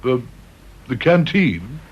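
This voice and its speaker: Husky, fussy, genial English committee man. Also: mid-range voice, big pitch swings, takes it slowly, full character voice. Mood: flustered. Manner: stammering, hesitant, apologetic. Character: flustered, genial male committee man, anxious to please. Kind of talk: British radio drama acting. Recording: dull, lo-fi radio recording.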